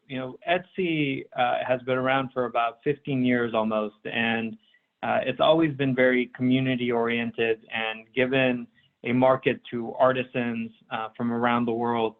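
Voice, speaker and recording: Man moderating over a tinny line. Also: mid-range voice. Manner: hesitant, pausing often between phrases. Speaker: panel moderator. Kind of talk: webinar moderating. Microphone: remote call audio.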